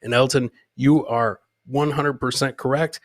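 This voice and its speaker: A man's voice: mid-range voice, fairly even intonation, a little slow, no room sound. Middle-aged man, dry close-sounding comedy host. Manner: informal, swearing, repeats phrases for emphasis. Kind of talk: casual live-stream comedy chat.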